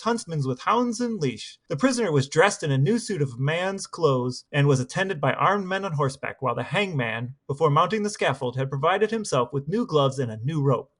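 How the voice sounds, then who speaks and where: A man's voice: on the high side, a bit bright. A man co-hosting a podcast, chatting casually and reading passages aloud. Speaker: podcast co-host.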